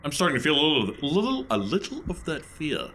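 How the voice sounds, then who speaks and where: A man playing a tabletop game, chatting casually and thinking aloud in halting restarts. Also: on the high side, wildly swooping pitch, fairly bright tone, a little hiss or hum.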